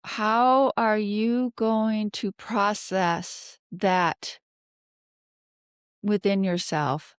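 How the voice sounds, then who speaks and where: A woman host reads tarot cards in a slow, deliberate delivery. Her voice is smooth and clear, recorded close and dry. She thinks out loud, pausing often and putting questions to the air. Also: medium pitch, fairly even intonation, no room sound. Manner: halting, pondering aloud with rhetorical questions. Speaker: tarot card reader, host. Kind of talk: unscripted tarot reading commentary.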